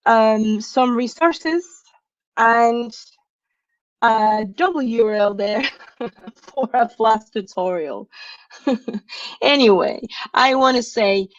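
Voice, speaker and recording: A woman's voice: on the high side, slow, long pauses, dry recording, dull audio. A woman from Venezuela giving a tech talk over a video call, chatty and often laughing. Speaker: conference speaker.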